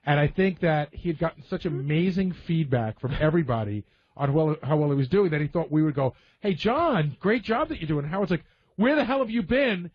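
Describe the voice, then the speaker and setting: A man with a fairly high-pitched voice talks casually on a radio show. He tells stories in a conversational way, and the audio is somewhat dull and muffled. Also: animated, fairly quick. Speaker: radio show staff member. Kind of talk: casual radio talk, storytelling.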